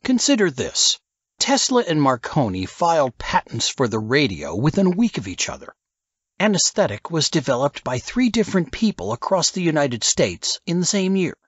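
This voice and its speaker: A man's voice: a little high, very animated, moderate pace, slightly rough, dry recording, lo-fi sound, voice pushed up. A man voicing a scheming, lecturing man of grand ambitions in an audiobook, speaking emphatically and rhetorically. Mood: emphatic, insistent. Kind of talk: audiobook character dialogue.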